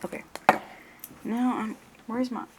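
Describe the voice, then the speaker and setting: A woman's voice: fairly high pitch, little pitch movement, slow, heavy background noise. A woman doing a one-on-one ASMR role-play as an examiner, hesitant and muttering to herself, drifting between normal voice and whispery murmur.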